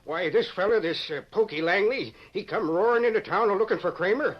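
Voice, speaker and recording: An actor playing an excitable western sheriff with folksy frontier speech in an old-time radio drama. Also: male voice, sits high, fairly quick. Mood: excited, worried. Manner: folksy frontier drawl talk. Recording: muffled vintage radio recording.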